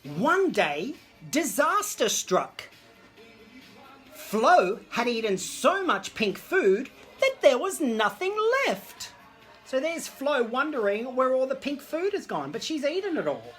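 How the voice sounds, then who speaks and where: A woman's voice: high-pitched, very animated, slow. A woman hosting children's story time, reading a picture book to camera in a theatrical, camp, exclamatory way. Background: disco music.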